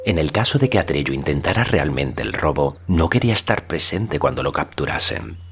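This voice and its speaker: A man narrating a literary audiobook in a measured, solemn storytelling manner. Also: rumbling low, very animated, a bit bright, a little hiss or hum. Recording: faint music behind the voice.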